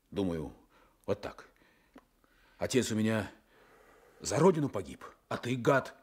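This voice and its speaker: A man's voice: fairly low pitch, slightly rough. An actor playing a brooding, blunt village man with earthy colloquial speech in a Soviet radio drama. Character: brooding, blunt, guilt-ridden village man recovering from illness.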